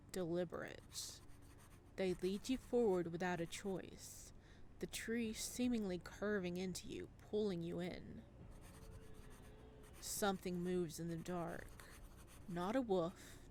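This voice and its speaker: A woman reading eerie visual novel narration as an ominous storyteller, hushed and deliberate. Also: fairly low pitch, a little hiss or hum, voice pushed down.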